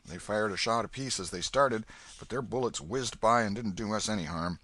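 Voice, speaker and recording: A man voicing a kindly, gruff old Welshman with a booming, fatherly manner. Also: normal speed, sharp tone, no echo. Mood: storytelling.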